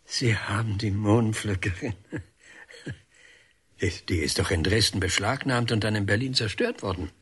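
Radio play painter, older man, husky lowish voice, wry, earthy, self-possessed. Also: moderate pace, long pauses, a bit bright. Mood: surprised. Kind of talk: radio drama dialogue.

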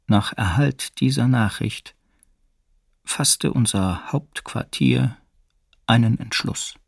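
An actor playing a grave male prisoner, telling his account deliberately with pauses in a documentary radio play. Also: medium pitch, creaky, husky, dry recording, clean studio sound, full character voice. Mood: tense, deliberate. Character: grave male prisoner recounting an escape plan. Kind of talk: slow dramatic narration of a testimony.

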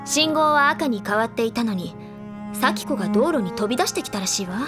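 A woman playing a confident, teasing high-school senior girl who recounts gossip in a feminine storytelling way in an audio drama. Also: expressive, brisk, music in the background, lightly acted. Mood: knowing, gossipy. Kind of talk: anime audio drama dialogue.

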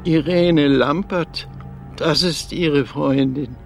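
An actor playing a gruff older father in a German radio crime drama, curt and dismissive. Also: on the high side, slow, soft background music. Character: gruff, curt old father of missing woman.

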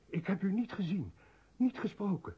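An actor playing a fearful, pleading ninety-year-old peasant woodcutter in a radio drama, deferential and halting. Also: male voice, high voice, very animated, dark tone, husky, exaggerated voice. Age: ninety in the role. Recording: muffled, dull radio drama recording.

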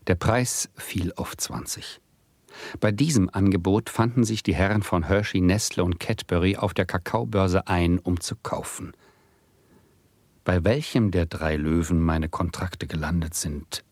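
A man's voice: deep voice, no room sound. An actor playing a speculator who narrates his own misadventure with dry irony in a radio play. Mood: resigned. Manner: dry, ironic storytelling. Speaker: first-person narrator.

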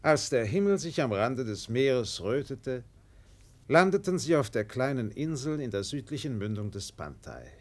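A man narrating a literary radio play in a solemn, measured way with dramatic pauses. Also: medium pitch, sing-song, darkish tone.

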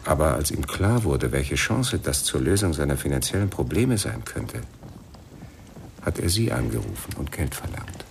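A man playing a matter-of-fact police sergeant in a German radio crime drama, reporting formally and deliberately. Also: a bass voice, big pitch swings, gravelly, a little hiss or hum, full character voice. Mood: factual, grave. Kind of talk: radio play acting.

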